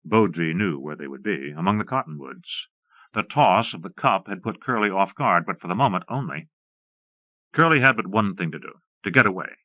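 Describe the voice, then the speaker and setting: A man's voice: sits low, expressive, normal speed, dry recording. A man reading scripted western narration for an audiobook, recorded close and dry on a slightly dull cassette.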